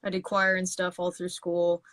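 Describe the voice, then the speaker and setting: A woman's voice: on the low side, completely flat delivery, moderate pace, a bit bright, no echo. A woman musician, chatting on a video call as an interview guest, talking in loose, rambling sentences with many restarts. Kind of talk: casual interview chat.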